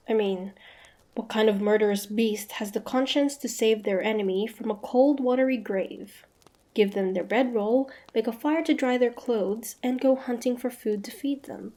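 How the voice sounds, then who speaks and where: A woman playing a wary, sharp-tongued young demon hunter with a prickly but grudgingly softening manner, in a fantasy roleplay audio drama. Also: fairly high pitch, close to an everyday voice. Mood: grudgingly trusting. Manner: guarded, sharp-tongued, tsundere.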